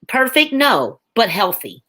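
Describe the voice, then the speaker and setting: A woman's voice: fairly high pitch, big pitch swings, measured pace, sharp tone. Emphatic woman in her forties, testifying. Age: 40s. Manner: emphatic, preaching rhythm with repeated phrases. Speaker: podcast guest. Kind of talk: testimony on a faith podcast.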